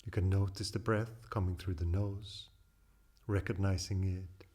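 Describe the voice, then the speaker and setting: A man leading a guided meditation as a teacher, speaking in calm, deliberate phrases with long pauses. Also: low-pitched, breathy edge.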